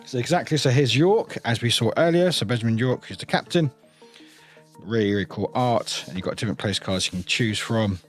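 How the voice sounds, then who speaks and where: A man's voice: mid-range voice, fairly quick, soft background music. A man giving a casual, enthusiastic, rambling unboxing commentary as a review host.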